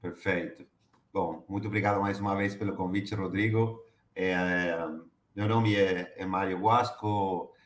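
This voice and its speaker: Man, Argentine Spanish accent, very low pitch, hesitant, echoey room. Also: fairly even intonation, measured pace. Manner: hesitant, many fillers and restarts. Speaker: guest, HR director. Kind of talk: interview answers.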